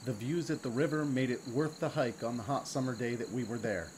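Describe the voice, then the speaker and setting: Calm man narrating an off-road vlog. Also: medium pitch, a little fast, slight background noise. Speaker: channel host narrating. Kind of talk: scripted voice-over narration.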